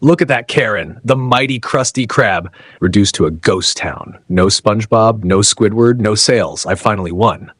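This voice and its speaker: Tiny plankton villain , male reader: gloating cartoon schemer, slightly husky, closely recorded. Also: on the low side, moderate pace, no echo, clean studio sound.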